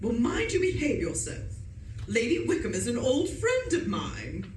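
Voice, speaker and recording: A woman's voice: a little high, huge pitch swings, a little slow, a little mellow, slight background noise. A woman acting a curt, overbearing older upper-class English aunt in a radio play, scolding and imperious. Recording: roomy, distant stage recording.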